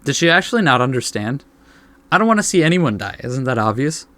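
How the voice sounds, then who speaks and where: A man's voice: medium pitch, sing-song, a little fast, a bit bright, no echo. A man reading game text aloud as a let's play host, adding laid-back asides.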